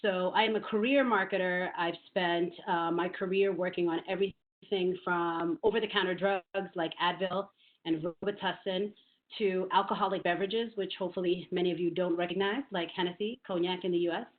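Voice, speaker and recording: A middle-aged woman from New York City, a marketing executive speaking in a virtual interview, measured and thoughtful, with frequent ums. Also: on the low side, steady tone. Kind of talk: virtual interview, career talk. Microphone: video call. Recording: phone-like call audio.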